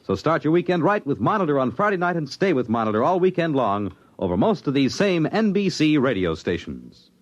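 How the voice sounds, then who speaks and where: Animated American male radio announcer, vintage sound. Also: on the high side, a little fast, few pauses. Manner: polished, emphatic, theatrical. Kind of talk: 1950s dramatic radio announcing. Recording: dull old radio recording.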